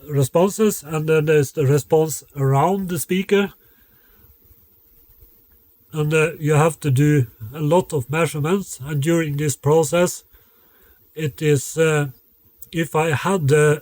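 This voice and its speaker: A man who designs loudspeakers explains his work in a halting, matter-of-fact way, stopping often to find his words. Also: a little high, no room sound.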